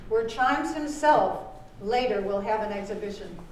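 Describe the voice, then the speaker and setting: A woman giving a live reading of scripted narration in a deliberate, measured way, with pauses. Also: mid-range voice, animated, a little mellow. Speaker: author reading aloud at a book launch. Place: indoor launch performance, live event. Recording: echoey room, distant mic, faint noise.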